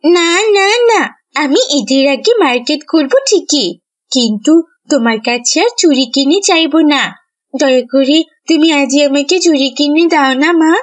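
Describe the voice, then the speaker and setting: A woman's voice: sits high, normal speed, lightly acted. A woman voicing a stubborn, pleading little village girl in a cartoon, whiny and insistent. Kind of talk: cartoon voice acting.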